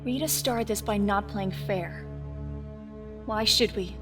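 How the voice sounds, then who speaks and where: A woman's voice: mid-range voice, takes it slowly, music in the background, close to an everyday voice. A woman playing a resolute young fighter and loyal friend in an audio drama.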